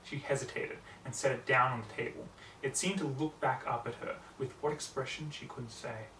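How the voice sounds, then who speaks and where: A man's voice: mid-range voice, normal speed, fairly bright tone. A man reading scripted fiction aloud as an amateur audiobook narrator. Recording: roomy, distant mic, faint background noise.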